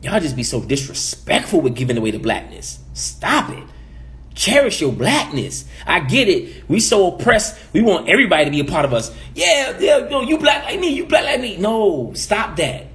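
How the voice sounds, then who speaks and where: A man's voice: sits high, very animated, normal speed, a bit bright. A man from the Caribbean giving emphatic opinion commentary as a vlogger, preachy and repeating his points.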